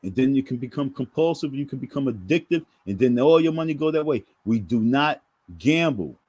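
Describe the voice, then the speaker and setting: A man's voice: mid-range voice, lively intonation, brisk, a little mellow, husky, no room sound. A man giving preachy casual commentary to his viewers, emphatic and repetitive in a sermon-like way. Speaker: online commentator.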